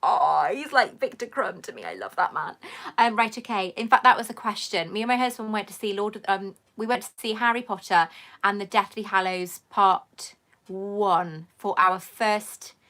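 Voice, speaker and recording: Woman, middle-aged, mixed english-welsh accent, crisp bright tone, reading comments on a live stream. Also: mid-range voice, normal speed. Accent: mixed southern English and Welsh. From England, raised in Wales. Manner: chatty, reads viewer comments aloud, laughs often. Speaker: host.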